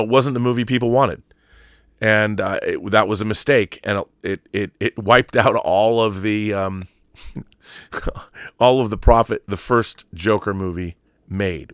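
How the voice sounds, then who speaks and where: A man's voice: fairly low pitch, fairly bright tone, no echo, clean studio sound. An American man hosting a livestream, talking casually and with opinions in a rambling, emphatic way that repeats phrases for stress. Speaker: livestream host. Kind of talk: casual opinionated livestream monologue, reading viewer comments.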